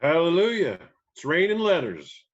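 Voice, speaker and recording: Man playing a gruff aging hippie country singer, muffled sound. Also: fairly high pitch, very animated, moderate pace. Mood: wry, folksy. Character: gruff 58-year-old hippie country band singer, easygoing. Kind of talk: screenplay table read.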